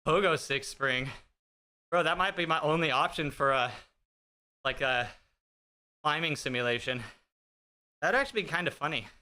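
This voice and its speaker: A man's voice: a little high, animated, normal speed, long pauses, sharp tone. A man in his thirties chatting casually with his stream viewers while riding an indoor bike, joking and teasing himself. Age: 30s. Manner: joking, self-deprecating, chatty. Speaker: fitness streamer. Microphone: streaming desk mic with noise gate.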